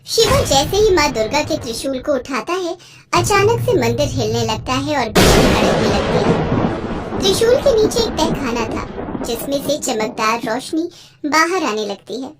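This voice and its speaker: Very high, animated story narrator. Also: measured pace, very noisy. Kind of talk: scripted children's story narration.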